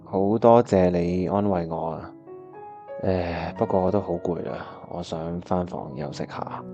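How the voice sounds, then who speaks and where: A man's voice: low voice, fairly even intonation, a little slow, muffled recording. A man with a Hong Kong Cantonese accent acting a disheartened, wistful time traveller who narrates his own radio drama. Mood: weary. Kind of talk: first-person radio drama narration and dialogue. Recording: music playing behind the voice.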